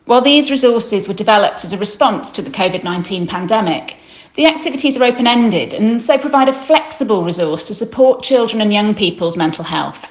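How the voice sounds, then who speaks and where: A woman's voice: medium pitch, lively intonation, a little fast. Woman with a formal read-out delivery, roomy echo. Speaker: senior consultant presenting a publication. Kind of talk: scripted presentation.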